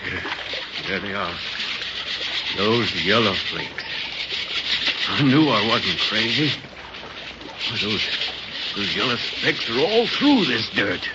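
An actor voicing an excitable, irritable American frontier sawmill builder in 1930s radio style. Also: male voice, on the low side, takes it slowly, pauses often. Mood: amazed. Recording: muffled, hissy 1930s radio recording.

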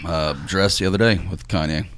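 Man, radio co-host, sarcastic, wisecracking, lowish pitch. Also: brisk, fairly bright tone, smooth, no room sound. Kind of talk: casual banter on a talk radio show.

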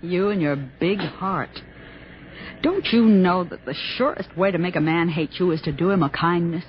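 An actress playing an anxious, worldly film star in 1960s radio drama style, earnest and worried. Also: female voice, on the low side, normal speed, natural voice. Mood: worried, cutting. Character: anxious, worldly silent-film leading lady. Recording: muffled, hissy old radio broadcast.

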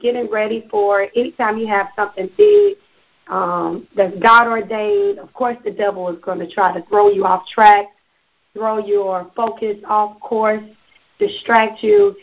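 A woman in her twenties, a gospel singer guest, speaks earnestly in a testifying way, slowing down on the points she stresses. The sound is somewhat dull and lo-fi. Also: mid-range voice. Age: 20s. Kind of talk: radio interview.

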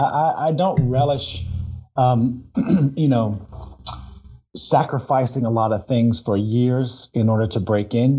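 Guest type, man in his fifties, mellow and warm, talks in loose rambling runs, slightly dull lo-fi audio. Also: mid-range voice. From grew up overseas, mainly Singapore. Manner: rambling, many fillers and restarts. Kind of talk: casual interview talk.

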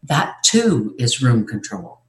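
A speaking coach giving an instructive talk on presenting, measured and explanatory with occasional hesitations. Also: mid-range voice, big pitch swings. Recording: roomy echo.

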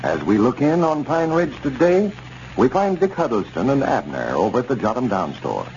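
A man's voice: mid-range voice, wildly swooping pitch, normal speed, darkish tone. An American man announcing and reading scripted sponsor copy in 1930s radio style. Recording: dull, noisy 1930s radio recording.

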